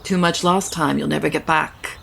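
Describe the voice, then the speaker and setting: A woman's voice: low-pitched, steady tone, moderate pace, creaky, a bit bright, slightly rough, heavily acted. An actress playing a genteel, protective middle-aged mother who holds grudges, in an audio soap opera. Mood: bitter.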